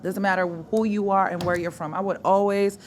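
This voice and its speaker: A woman from the Dominican Republic, a sports host and movement coach, speaking as a guest in a casual podcast interview, animated and quick to laugh, dropping in Spanish words. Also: medium pitch, a little hiss or hum.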